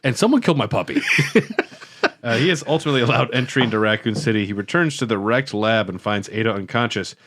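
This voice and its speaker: A man's voice: mid-range voice, animated, fast talker, fairly bright tone. A man co-hosting a comedy podcast, mixing a read-out recap with crude, joking interjections. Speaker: podcast co-host. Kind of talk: casual comedic recap and banter.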